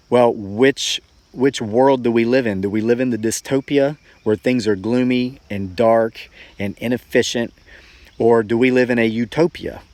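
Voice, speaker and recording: A man's voice: medium pitch, a little mellow, dry recording. A man in his forties talking casually and reflectively to viewers as a homesteading vlogger, with a folksy, earnest, rambling way of telling things. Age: forty-four.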